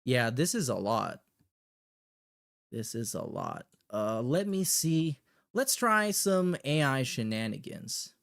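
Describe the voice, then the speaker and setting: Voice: mid-range voice, sing-song, slow pace, vocal fry. A livestream host chatting casually and reacting in a blunt, heavily profane way.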